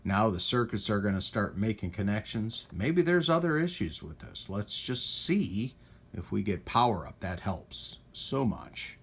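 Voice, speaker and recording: A man's voice: lowish voice, very animated, a little slow, a bit bright. A man talking casually in a hands-on tech repair vlog, rambling and hesitant as he thinks aloud. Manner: rambling, hesitant, talks to himself while working. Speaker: host of a radio repair video. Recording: clear background noise around the voice.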